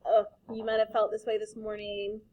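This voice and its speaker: A woman teacher reading a picture book aloud to children, expressive and pausing to explain. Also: mid-range voice.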